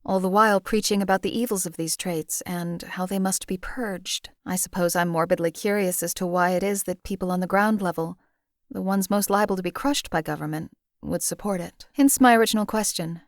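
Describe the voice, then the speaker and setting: An actress voicing a cold, detached female hired assassin for a game, speaking in a measured, formal, deadpan way. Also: lowish voice, dry recording, studio quality, lightly acted. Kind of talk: game companion voice lines.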